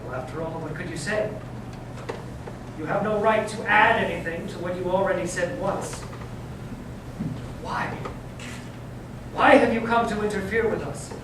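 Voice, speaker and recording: Through strong echo and noise, a man plays an old cardinal inquisitor. The voice is high and stern, delivering a confrontational stage monologue full of accusing questions. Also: measured pace, pauses often. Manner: rhetorical, confrontational. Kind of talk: staged readers theater monologue. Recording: very echoey, distant, clear noise.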